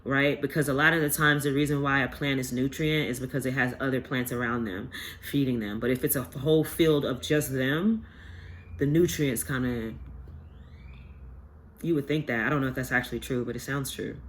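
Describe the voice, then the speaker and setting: Man, stuffy nose, tarot reader, rambling, lots of ums, faint background hiss, mid pitch. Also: fairly even intonation, moderate pace, a bit bright. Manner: rambling, filler-heavy, earnest. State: stuffy from a sinus infection. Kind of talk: casual collective tarot reading.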